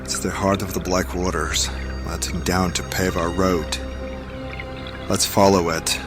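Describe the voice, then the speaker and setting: Prominent music underscores a very low-voiced man of mystery as acted by a man. He is a solemn guide in a fantasy audio drama, darkly assured. Every phrase comes slowly, portentous and commanding. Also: little pitch movement. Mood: calm, assured. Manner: measured, portentous, incantatory.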